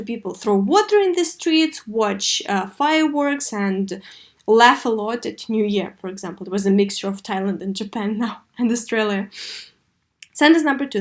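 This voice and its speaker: Woman, fairly high voice, children's English teacher, expressive didactic delivery. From Russia. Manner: didactic, expressive model reading with clear questions. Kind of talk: video lesson for third graders.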